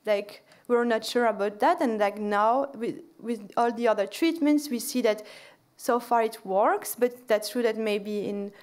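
A woman's voice: fairly high pitch, a little slow, darkish tone. A woman lecturer answering audience questions at a podium in a large lecture hall, with many fillers and restarts.

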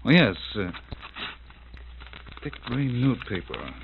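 An actor playing a tired, earnest young gentleman in a 1930s radio drama, tense and determined, on a tinny, scratchy recording. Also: medium pitch, big pitch swings, slow, gravelly, exaggerated voice.

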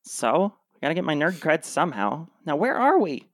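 A woman's voice: sits low, big pitch swings, moderate pace, dry recording, studio quality. A woman playing a flippant, grumbling, contrary slacker in a comedic radio play.

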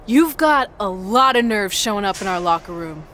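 Woman as determined wrestling champion, medium pitch. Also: expressive, normal speed, a bit bright, soft background music, lightly acted. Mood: confrontational. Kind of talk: scripted video game cutscene acting.

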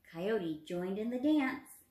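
A woman's voice: medium pitch, expressive, slow pace, dark tone. A woman in her twenties, an encouraging teacher reading aloud and putting questions to young children. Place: small room at home, indoors. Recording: roomy home recording.

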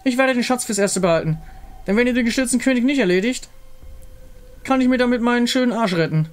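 Man voicing a scornful, high-pitched female pirate captain. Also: animated, fast. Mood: sly, defiant. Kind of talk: visual novel character read-aloud.

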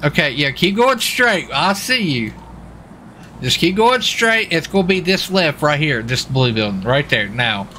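A man's voice: fairly high pitch, sing-song, unhurried, pushed and bright, slight background noise. An American man in casual gaming radio chatter, with a folksy, easygoing manner. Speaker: streamer playing a police officer in online roleplay.

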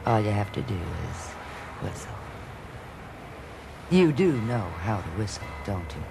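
A man's voice: fairly low pitch, sing-song, unhurried, vocal fry, very noisy, full character voice. A man voicing a sultry, worldly femme fatale in a film-noir radio parody, teasing and tough.

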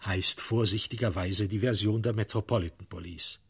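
A man reading dramatic literary narration for a radio crime drama, in measured, deliberate phrasing with emphatic stresses. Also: on the low side, steady tone, slightly rough.

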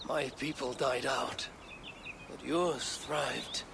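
An actor playing a wise, patient elder of a lost people in a video game, grave and explanatory. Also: male voice, mid-range voice, fairly even intonation, slow pace, creaky, noisy background. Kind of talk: video game cutscene acting.

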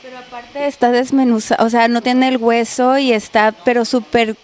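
A woman's voice: on the high side, flat delivery, normal speed, darkish tone. A woman in her forties from northern Mexico, reporting on location with a handheld mic and gushing with praise. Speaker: reporter host. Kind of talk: on-location food interview. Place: outdoor patio and restaurant interior. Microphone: handheld microphone.

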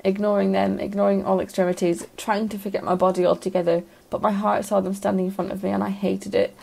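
Woman reading a short story in first person, lots of vocal fry, pretty even tone. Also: mid-range voice, fast.

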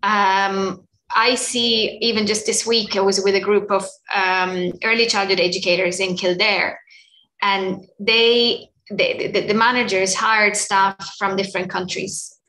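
Woman, video-call panelist, crisp bright voice, thoughtful and halting, roomy laptop sound. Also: mid-range voice, steady tone. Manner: thoughtful, halting with many restarts. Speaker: education academic. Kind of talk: panel discussion. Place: small room at home, indoors. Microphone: laptop webcam on a video call.

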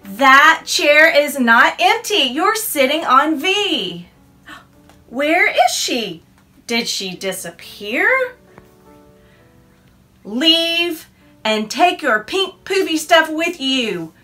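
A woman's voice: high-pitched, sing-song, very slow, long pauses, pushed and bright, faint music behind, pitched above the performer's own voice, heavily acted. A woman voicing a stubborn little girl who loves spooky things, speaking in a firm, matter-of-fact, defiant way. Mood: indignant.

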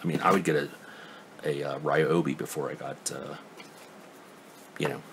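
A man's voice: a bass voice, fairly even intonation, moderate pace, vocal fry, very noisy. A man giving a casual hands-on tool review at his workbench, rambling and skeptical, full of ums and restarts. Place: indoor workbench.

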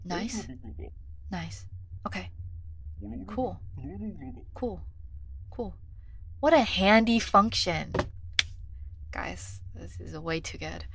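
A woman's voice: mid-range voice, very slow, long pauses, background noise. A woman streamer, a native Korean speaker, chatting casually over a game and muttering numbers and checks to herself. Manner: chatty, thinks aloud, reads numbers under her breath. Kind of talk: casual gameplay commentary.

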